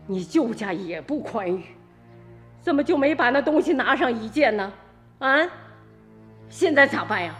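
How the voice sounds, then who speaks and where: A woman plays a stern middle-aged village mother in a radio play, with a cutting, scolding way of talking. Her voice is high and swings widely. Faint music plays behind. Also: expressive, normal speed, pauses often, close to an everyday voice. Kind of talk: radio drama acting.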